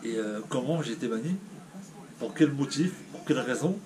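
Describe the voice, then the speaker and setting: A man speaks to his viewers with the stuffy, blocked sound of a cold. He rambles and hesitates, restarting his sentences with a downcast air. His voice sits at an ordinary pitch, over a clear layer of background noise. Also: animated, moderate pace. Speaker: YouTuber. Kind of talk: casual vlog talking to viewers.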